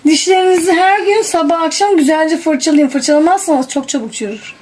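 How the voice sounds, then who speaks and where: Very high-pitched, quick woman, heavy noise. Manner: practical, instructing, reassuring. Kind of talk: casual family chat. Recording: very noisy home recording with clicks.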